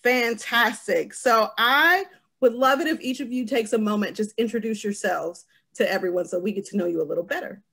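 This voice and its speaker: A woman's voice: fairly high pitch, brisk, vocal fry, fairly bright tone, husky. An American woman in her forties moderating a video-call panel in a casual, conversational way. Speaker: panel host. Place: home room, indoors, video call.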